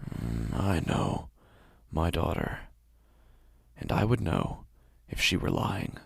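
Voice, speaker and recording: A man acts out a devoted father whose worry has turned obsessive, narrating a horror audio drama. His voice is low and full of creak, recorded very dry. He speaks haltingly and intensely, as if trying to justify himself. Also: very animated, no echo, heavily acted. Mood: troubled, strained. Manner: hesitant, halting, intense, sighing. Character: devoted, anxious, obsessive father of a young daughter.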